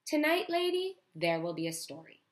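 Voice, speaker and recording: An old storybook grandmother, read out by a woman, stern and cryptic. She speaks firmly and deliberately, giving nothing away. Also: lowish voice, very animated.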